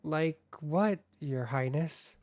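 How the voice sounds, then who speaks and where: An actor playing a timid, kindly royal attendant in an audio drama, polite and deferential, somewhat hesitant. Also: male voice, a little high, slow, darkish tone, no room sound, studio quality. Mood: awkward, polite.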